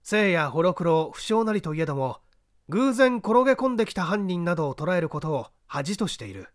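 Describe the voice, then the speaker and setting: Guy doing a grave iron-willed detective in an audiobook, deliberate, swinging pitch. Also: very animated, no room sound, clean studio sound. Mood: proud. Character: solemn, proud, iron-willed master detective. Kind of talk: audiobook character reading.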